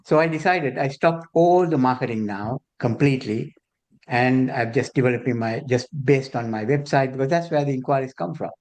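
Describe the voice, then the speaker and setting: A man's voice: mid-range voice, normal speed, darkish tone. A man from Sri Lanka, a therapist and trainer introducing himself matter-of-factly on a video call. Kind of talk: self-introduction on a group call.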